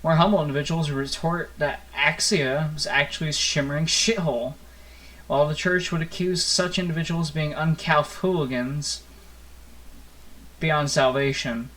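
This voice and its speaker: A man's voice: a little high, steady tone, normal speed, a bit bright, rough recording. A man reading a visual novel aloud as a gaming host, with theatrical, playful narration and offhand asides. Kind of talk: expressive storybook-like narration with casual asides. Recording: music playing behind the voice. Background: plucked guitar game music.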